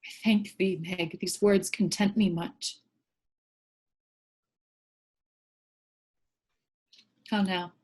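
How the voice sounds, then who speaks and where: A woman playing a fragile, pious medieval king in classical verse, with a husky voice. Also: medium pitch. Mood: uneasy. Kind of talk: classical verse stage acting. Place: remote staged reading over video call.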